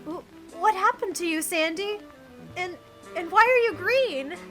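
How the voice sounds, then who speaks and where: A woman voicing a sweet, excitable, naive little girl in a rhyming Christmas story. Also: very high-pitched, measured pace, faint music behind, voice pushed up, heavily acted. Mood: puzzled.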